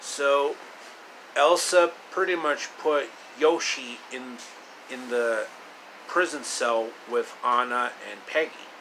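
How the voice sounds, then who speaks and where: A man retelling a fairy tale in his own loose way, with halting, drawn-out delivery and casual asides. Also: mid-range voice, bright, forceful tone, slight background noise, poor audio. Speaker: storyteller.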